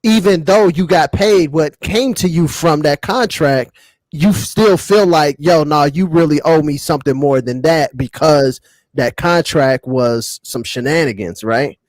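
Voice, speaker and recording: A man with a fairly high voice talks casually on a panel show, in a close, dry sound. He explains points step by step in slangy language and often tags them with a questioning "right?". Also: a little slow, no echo. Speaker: podcast panelist.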